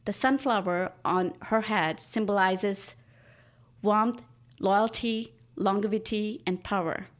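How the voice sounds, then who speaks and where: Woman artist, native Urdu speaker, earnest podcast guest, mid-pitched. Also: slow. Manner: earnest, reads her own writing aloud. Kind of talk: podcast interview.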